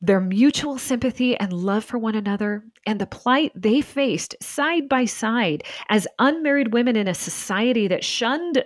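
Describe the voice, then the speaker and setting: A woman presents as a podcast host, moving through a mid-range pitch with lots of melodic variation. The sound is dry and close, with very little room. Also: no room sound. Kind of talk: scripted narration and interview talk.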